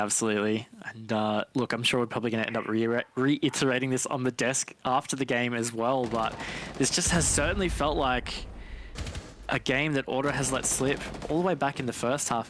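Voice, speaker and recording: Chatty male esports analyst, mid-pitched voice. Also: a bit bright, a little hiss or hum. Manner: informal, chatty, explanatory. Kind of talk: conversational live match analysis.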